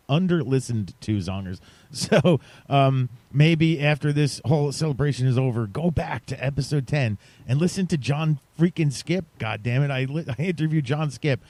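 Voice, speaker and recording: A man's voice: mid-range voice, warm tone, no echo. Male podcast host, mid-range voice, casual and chatty with playful emphasis, dry studio-close sound. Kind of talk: casual podcast chat addressed to listeners.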